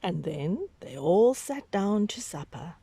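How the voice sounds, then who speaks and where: A woman reading a picture book aloud to young children, with dramatic, drawn-out emphasis and hushed whispery moments. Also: fairly low pitch, big pitch swings, slightly rough, no room sound.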